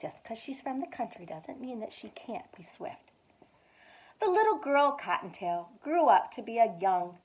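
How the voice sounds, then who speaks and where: A woman youth director reading a children's story aloud at storytime, expressive, with whispered asides. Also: a little high. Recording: tinny phone-like sound.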